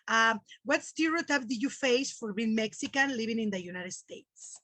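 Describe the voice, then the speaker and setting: Woman, podcast host, friendly, hesitant, higher voice, dry close sound. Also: expressive, normal speed, pushed and bright, dry recording. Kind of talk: interview hosting.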